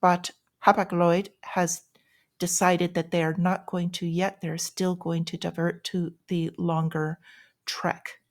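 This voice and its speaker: A woman's voice: lowish voice, level tone, slow. A woman giving informal news commentary in a plain-spoken, explanatory and cautionary way, as the host of a financial vlog.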